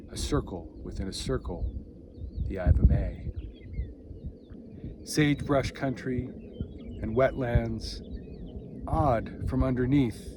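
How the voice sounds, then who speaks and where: A man reading a poem as a poet, in measured, deliberate phrases with pauses between them. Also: mid-range voice. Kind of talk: poetry reading. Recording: clear outdoor background noise.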